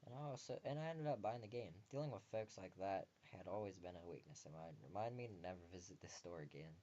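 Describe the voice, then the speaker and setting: Young man, low voice, let's player, reading game text expressively, casual wry asides, slightly muffled lo-fi recording. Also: expressive, fast, dark tone, slight background noise. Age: young adult. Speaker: let's player reading a visual novel aloud.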